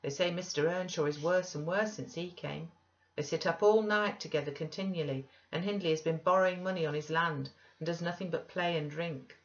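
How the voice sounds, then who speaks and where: A woman's voice: lowish voice, fairly even intonation, fairly quick, muffled recording, natural voice. A woman voicing a frank, cautioning female servant confidante in an audiobook reading. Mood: cautioning, earnest.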